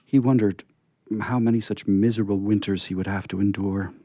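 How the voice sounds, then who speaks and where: A man's voice: lowish voice, steady tone, a little fast, mellow, no room sound. A man hosting a radio story program and reading aloud with dramatic, emphatic phrasing. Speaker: radio show host and story reader. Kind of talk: scripted story narration with hosting remarks.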